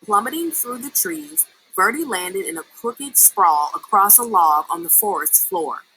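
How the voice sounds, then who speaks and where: A woman reading a children's story aloud in an expressive storytelling style. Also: medium pitch, measured pace, a little hiss or hum, rough recording. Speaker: story reader.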